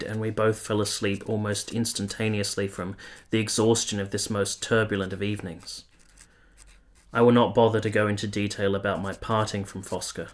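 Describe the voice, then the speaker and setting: An actor playing a weary, earnest, lovestruck army officer who narrates a radio play in solemn, literary, confessional language. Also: male voice, fairly low pitch, natural voice. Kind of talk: radio play, first-person letter narration.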